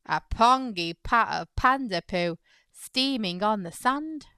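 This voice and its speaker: A woman hosting a children's storytime, reading a picture book aloud in an expressive, playful way. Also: fairly high pitch, slow pace, clean tone, dry recording, clean studio sound. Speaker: children's storytime host and narrator. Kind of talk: animated read-aloud narration of a picture book.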